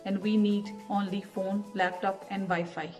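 Lady talking plain and earnest at home, soft music underneath, middle pitch. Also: little pitch movement, measured pace. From India, Punjab. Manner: earnest, plain, speaks in short listed phrases. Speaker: part-time school bus driver and online business owner. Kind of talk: promotional testimonial. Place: living room at home, daughter beside her. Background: soft background music.

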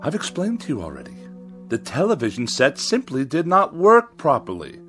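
Pompous irritable writer, sneering sarcasm, ornate wording, very animated intonation, radio drama. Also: male voice, fairly high pitch, moderate pace, over music. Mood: scornful. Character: haughty, irascible male writer who despises machines.

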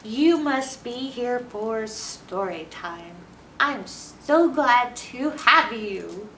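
Animated American woman hosting children's story time. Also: fairly high pitch, drawn-out words. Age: middle-aged. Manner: animated, exaggerated expression for young children. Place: indoor library room, seated on a couch. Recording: roomy, distant mic, faint noise.

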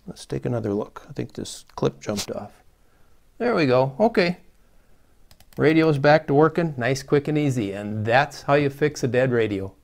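Gravelly middle-aged man, folksy tutorial. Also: mid-range voice, lively intonation, normal speed. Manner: folksy, plain-spoken, talking through each step as he works. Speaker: host of a CB radio repair channel. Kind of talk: casual hands-on repair tutorial. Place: small plain indoor room, seated at a table.

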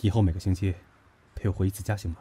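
Man acting husky, possessive young lover. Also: low voice, steady tone, very fast, dark tone. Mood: tender, eager. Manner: emotional, insistent. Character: passionate, possessive, boyish young man in love. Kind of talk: Chinese audio drama acting.

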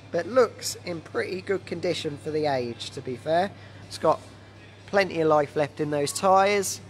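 A man in his thirties with a slightly croaky voice from a cold, casually presenting stock as a dealer on a live walkaround. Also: on the high side. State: has a cold. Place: large indoor motorbike warehouse showroom. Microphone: handheld phone. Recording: noisy handheld phone in warehouse.

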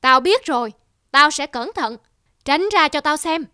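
A woman's voice: sits high, animated, measured pace, sharp tone, dry recording, clean studio sound, exaggerated voice. A woman voicing a greedy, pompous middle-aged male mandarin in a cartoon, scolding and blustering.

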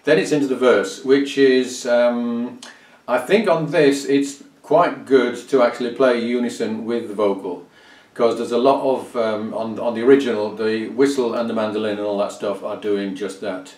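An older man explains things like an informal music teacher, pausing mid-phrase as he goes. His voice sits at an average pitch. It is picked up from a little way off in a small, echoey room. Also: fairly even intonation, a little mellow. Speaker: fiddle teacher. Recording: roomy, distant microphone sound.

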